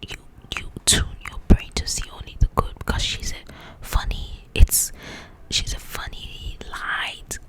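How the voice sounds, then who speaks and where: A woman whispering in an ASMR style as she casually chats about a book in a rambling, animated, informal way. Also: measured pace. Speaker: ASMR creator reviewing a book.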